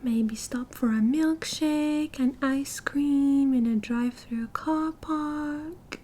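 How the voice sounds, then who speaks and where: Woman acting a high-pitched, giggly British yandere girl. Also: takes it slowly, mellow. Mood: excited, doting. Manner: giggly, cutesy, doting, breezy. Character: cheerful, oblivious, possessive yandere young woman kidnapper. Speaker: ASMR roleplay performer. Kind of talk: ASMR roleplay, intimate one-sided conversation. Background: car driving sounds.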